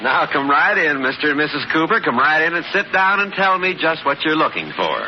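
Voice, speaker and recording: An actor playing a polite, easily baffled real estate agent in an old radio sitcom. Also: male voice, a little high, sing-song, fairly quick. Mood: welcoming. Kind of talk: 1940s-50s radio sitcom acting. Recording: muffled, very noisy old radio recording.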